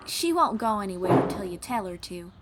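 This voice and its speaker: A woman's voice: medium pitch, big pitch swings, brisk, very noisy, natural voice. A woman playing a kind, patient young bard in an audio roleplay, teaching the listener in an encouraging, folksy way. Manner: encouraging, gently instructive, folksy.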